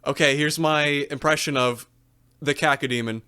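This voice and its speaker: A man's voice: on the high side, steady tone, sharp tone, clear, resonant tone. A man playing an awkward stand-up comic. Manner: halting, stammering, nervous filler words. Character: awkward stand-up comic doing monster impressions.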